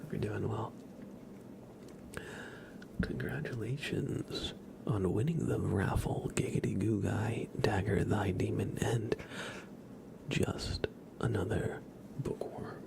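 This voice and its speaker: A man hosting an ASMR livestream, chatting casually to viewers in a soft, breathy whisper. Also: on the low side, steady tone, slow, long pauses. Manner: soft-spoken, addressing viewers by name.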